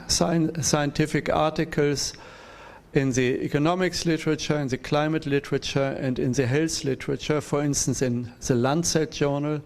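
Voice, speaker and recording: A man, a professor at a conference, giving a measured, direct academic critique of a presentation. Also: on the high side, steady tone, darkish tone. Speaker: professor, discussant.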